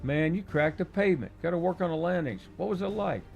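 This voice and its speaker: Man doing an eager young guy, pitched up, gravelly, audiobook, faint music. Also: lively intonation, fast, a little mellow. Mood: excited. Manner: eager, keeps saying man and dude.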